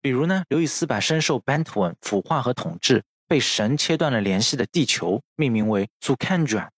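A man's voice: medium pitch, lively intonation, moderate pace, no echo, studio quality. A man hosting a podcast, narrating literary history from a script in a bookish, explanatory manner. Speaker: podcast host. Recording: music under the voice.

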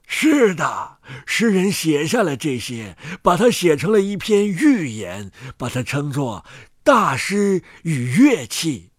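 Male storybook narrator, high pitch, lively expressive intonation, scripted read. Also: slow, few pauses, slightly rough, no room sound, studio quality.

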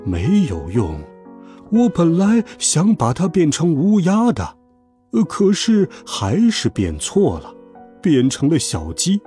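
A man's voice: fairly high pitch, huge pitch swings, takes it slowly, mellow, soft background music, pitched above the performer's own voice, exaggerated voice. A man voicing a bumbling, self-deprecating magician creature in a children's story, rueful, hesitant and sighing.

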